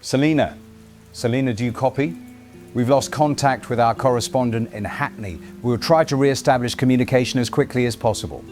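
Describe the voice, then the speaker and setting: A man playing a composed radio news presenter, with a formal, measured broadcast delivery. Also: medium pitch, darkish tone, lightly acted. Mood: urgent, controlled. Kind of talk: scripted broadcast news narration. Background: music bed.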